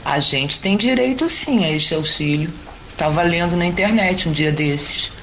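Circus dancer female actor, Brazilian, outspoken and hopeful, lowish voice, faint music under colloquial drama dialogue. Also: measured pace, a bit bright, low-quality recording. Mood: spirited, hopeful.

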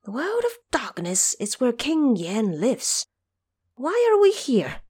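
A haughty monkey king from a fantasy audio drama, acted by a woman. He is defiant and indignant, with pitch that swings widely, in a dry recording. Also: huge pitch swings, slow, a little mellow, slightly rough, no echo, heavily acted. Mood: alarmed.

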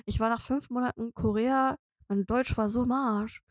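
German girl in her 20s on a podcast, kinda high voice, lots of ähm and laughs, dry mic. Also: steady tone, normal speed, no echo. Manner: chatty, many fillers, laughs often. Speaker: podcast co-host. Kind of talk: casual podcast chat.

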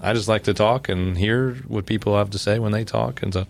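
A man who is a pastor hosting a podcast, talking in a relaxed, rambling way. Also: fairly low pitch, a little fast, gravelly, slight background noise. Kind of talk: casual chat.

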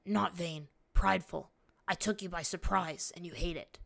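A woman voicing an earnest, vulnerable young man in a fantasy story, apologetic yet stubborn, with halting pleas. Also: on the low side, steady tone, creaky, fairly bright tone.

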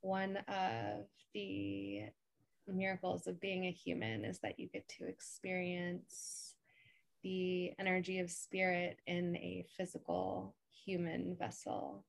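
Slow, creaky-voiced woman guiding meditation. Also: fairly low pitch, flat delivery, no room sound. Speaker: podcast co-host and meditation guide. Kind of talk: calm guided meditation.

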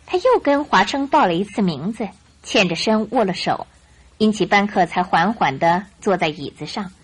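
A woman narrator giving scripted, expressive Mandarin storytelling for a radio drama. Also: mid-range voice, normal speed, husky.

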